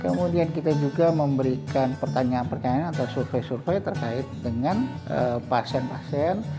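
A middle-aged man speaks in measured interview answers, often pausing with fillers as he explains. He is recorded on a lapel mic inside a clinic, and clear background music plays under his voice. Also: mid-range voice. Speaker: hospital director. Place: indoor hospital clinic.

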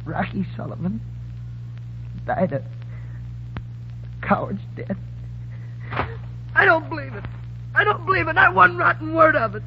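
Loyal slum teenager, high pitch, hot-headed, 1930s radio drama, noisy old recording. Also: male voice, sing-song, unhurried, long pauses, full character voice. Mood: disbelieving, upset. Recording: muffled, humming 1930s radio broadcast.

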